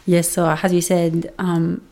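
A woman's voice: fairly low pitch, little pitch movement, slow, a little mellow. A young woman with a Québécois French accent, a native French speaker from Montreal, telling her story as a podcast guest in a reflective, casual way. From Montreal, Canada. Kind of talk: casual interview talk.

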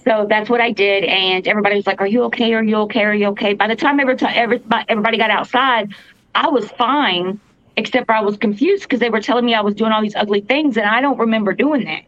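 A woman with a fairly deep voice, earnestly telling a story as a podcast co-host, halting with fillers and restarts. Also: a bit bright, no echo. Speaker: podcast co-host, paranormal investigator. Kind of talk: casual storytelling. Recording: dull lo-fi podcast audio, dry.

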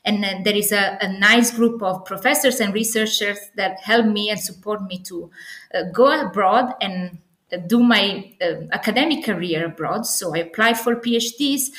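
A woman's voice: medium pitch, fairly bright tone. An Italian-accented woman from Naples answering as an academic interview guest, enthusiastic but often hesitating and correcting herself. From Naples, Italy. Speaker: podcast guest, assistant professor. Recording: echoey, roomy sound.

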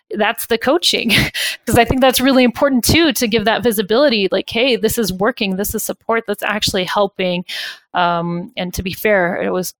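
A woman's voice: mid-range voice, no room sound. A woman talks through her experiences in a conversational interview, candid and reflective. Her speech is full of fillers and restarts. The sound is dry and close, with little room. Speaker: guest.

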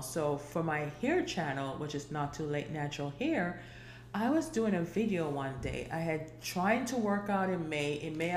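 A woman's voice: deep voice, roomy sound, a little hiss or hum. A woman in her forties hosting a casual solo podcast, chatty and rambling, with many fillers and restarts. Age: 40s. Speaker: podcast host.

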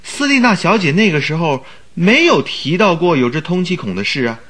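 A man playing an earnest, excitable sidekick in a Mandarin radio drama, questioning and exclaiming in an admiring, puzzled way. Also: on the high side, moderate pace.

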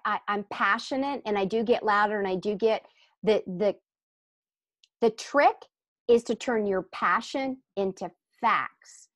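A woman's voice: mid-range voice, unhurried, fairly bright tone, no room sound. A woman speaking as a podcast guest in a conversational interview, explaining earnestly and emphatically and often rephrasing.

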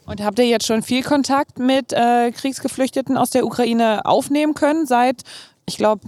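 A woman journalist introducing a report and interviewing people with brisk, friendly questions. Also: on the high side, darkish tone, dry recording, clean studio sound. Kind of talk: radio report and field interview.

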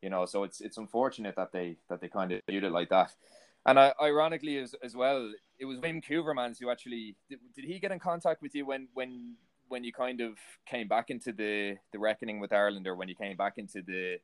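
A man's voice: mid-range voice, fairly quick. A man hosting an interview, asking long, rambling questions full of fillers like "you know" and "kind of". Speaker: podcast host, interviewer.

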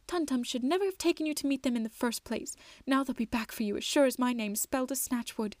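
A woman voicing an anxious, loving wood troll mother in a soft, tremulous, breathy voice for a storybook. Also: sits high, moderate pace, no echo, clean studio sound, pitched above the performer's own voice. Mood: grumbling, worried.

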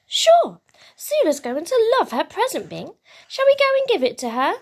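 A woman voicing a patient, gentle, encouraging caregiver in a storybook, reassuring and measured. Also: extremely high pitch, huge pitch swings, pitched above the performer's own voice, heavily acted. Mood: kindly.

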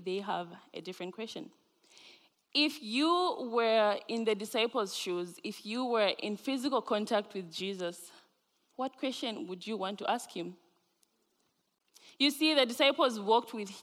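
A woman's voice: medium pitch, a bit bright. A young woman from Zambia, a senior student, giving an earnest chapel sermon with light laughs. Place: large chapel hall. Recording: live chapel hall with long reverb.

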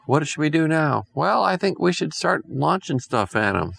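A man voicing an excitable, unhinged male space crewman in a bedtime story, talking in a jittery rush. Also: fairly high pitch, moderate pace, gravelly, no room sound, studio quality, pitched above the performer's own voice, heavily acted. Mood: frantic.

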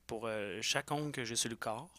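A man with a Quebec French accent and a bright, forward voice at a middle pitch, recorded close and dry. He talks like a podcast co-host telling stories to a friend, animated and slangy, with swearing thrown in. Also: brisk, no echo. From Quebec, Canada. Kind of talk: casual chat and storytelling.

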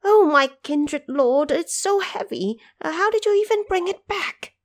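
A woman voicing fawning, excitable old monkey subjects in an audio drama, full of wide-eyed exclamation. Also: extremely high pitch, unhurried, voice pushed up. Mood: awestruck, pleading. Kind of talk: audio drama character acting.

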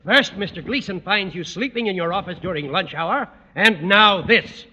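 An actor playing a stern, indignant school board president, brusque and demanding, in old radio sitcom style. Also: male voice, sits high, moderate pace, pushed and bright, husky, full character voice. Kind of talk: 1940s-50s radio sitcom acting. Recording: muffled, noisy old radio recording.

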